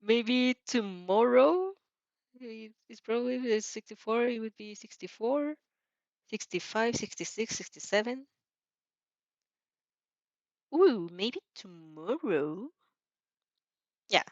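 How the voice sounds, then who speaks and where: A woman chatting casually as a host, reacting to a story in a giggly, excitable, rambling way. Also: a little high, takes it slowly, pauses often, no echo, lo-fi sound. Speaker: host reading fan fiction aloud and reacting. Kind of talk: casual reaction stream chat.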